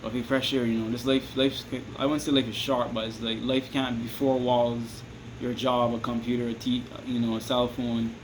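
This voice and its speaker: Rambling Barbadian man with hissy background. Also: mid-range voice. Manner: rambling, repeats "you understand me?", restarts often. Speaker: vlogger giving advice. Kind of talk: casual advice monologue to camera.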